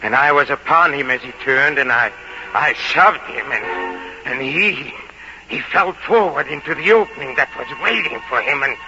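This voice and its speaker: An actor playing an obsessive, vengeful murderer confessing in a theatrical radio drama monologue, halting and repeating words as he gloats in torment. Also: medium pitch, animated, close to an everyday voice. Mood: feverish, gloating. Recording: muffled vintage radio recording. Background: dramatic music.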